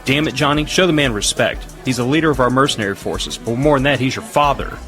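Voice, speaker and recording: An actor playing a stern, gruff army major who speaks in curt orders in an audio drama. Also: male voice, medium pitch, fast talker, a bit bright, natural voice. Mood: scolding. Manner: barking orders, curt military speech. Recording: music behind the voice.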